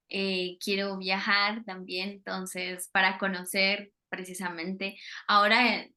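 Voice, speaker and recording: A woman's voice: medium pitch, sharp tone. A Colombian woman, a Spanish teacher, talking casually in an interview over a video call, hesitating with many fillers.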